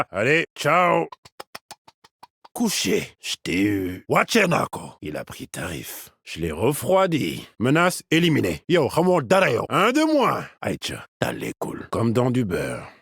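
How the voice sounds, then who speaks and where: An actor playing a confident, cocky tactical agent in a video game, giving short, clipped, commanding combat callouts in a husky voice. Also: male voice, medium pitch, huge pitch swings, slow, fairly bright tone, no echo, studio quality, exaggerated voice. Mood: cocky, taunting.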